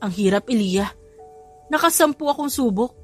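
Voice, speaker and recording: A woman's voice: fairly high pitch, lively intonation, normal speed, breathy edge, faint music behind. Woman playing a sheepish, earnest young father. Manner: apologetic, sincere. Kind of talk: audio romance drama dialogue.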